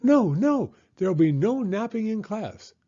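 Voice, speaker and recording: A man's voice: high-pitched, very animated, measured pace, warm tone, dull audio, voice pushed up, full character voice. A man voicing a cheerful, eager little female bird teacher in a children's storybook, chirping with exclamatory enthusiasm. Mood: insistent, upbeat. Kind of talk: children's picture book character voice.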